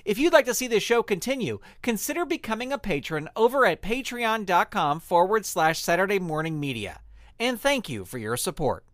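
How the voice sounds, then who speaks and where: Announcer, scripted sponsor read, lively intonation, dry close sound. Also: mid-range voice, brisk, no room sound.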